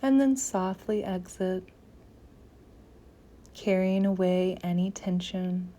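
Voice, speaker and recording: A woman leading a guided meditation in a soft, gentle, soothing voice, with phrases often trailing into near-whispers. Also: lowish voice, takes it slowly.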